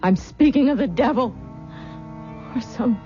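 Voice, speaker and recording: Haunted, mellow-voiced young bride. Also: female voice, on the high side, expressive, unhurried. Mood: brooding, intense. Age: young adult. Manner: withdrawn, brooding, breathy hushed intensity. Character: listless grieving young bride haunted by demonic dreams. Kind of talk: old-time radio drama acting. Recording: muffled old radio recording with music.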